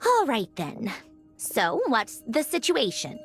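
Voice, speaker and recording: A proud young researcher in a game, irritable and dismissive. Her high voice swings dramatically with sarcasm, over clear background music. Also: wildly swooping pitch, slow, heavily acted. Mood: exasperated. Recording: music behind the voice.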